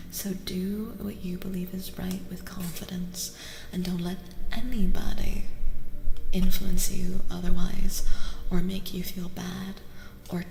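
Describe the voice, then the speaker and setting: A woman speaking in soft-spoken ASMR style as a streamer reading tarot, gently and deliberately, often slipping into a breathy whisper and repeating phrases. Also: fairly low pitch. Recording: roomy reverberant sound, faint noise.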